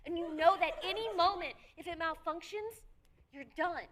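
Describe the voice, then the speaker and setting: Give me a woman playing a boastful woman astronaut in her thirties in a live tabletop game, breezy and laughing often, with a high, very bright voice. It sounds like an everyday voice, only lightly put on. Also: moderate pace. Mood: cocky. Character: cocky thrill-seeking woman astronaut, thirty-two. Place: live stage at a convention.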